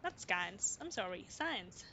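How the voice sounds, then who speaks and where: A woman's voice: medium pitch, big pitch swings, takes it slowly, pushed and bright, slight background noise. A woman livestreaming a game, giving casual running commentary as she plays. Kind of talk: casual live playthrough commentary. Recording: game music behind the voice.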